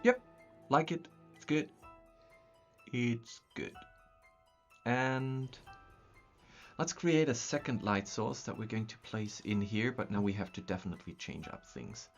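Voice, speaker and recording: A young Austrian man speaks English with a German-speaking accent, thinking aloud as he works through a map live. His talk is loose and halting, full of ums and restarts. The sound is a bit dull, with soft music underneath. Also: mid-range voice. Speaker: streamer building a map. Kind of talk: casual live-stream chat. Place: small room at a desk, indoors.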